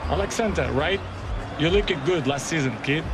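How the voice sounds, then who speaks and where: Male star player, acted: slightly high, confident, friendly, game cutscene, prominent music bed. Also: animated, moderate pace, a crowd in the background, lightly acted. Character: friendly, self-assured male star footballer praising a youngster. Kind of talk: video game cutscene dialogue.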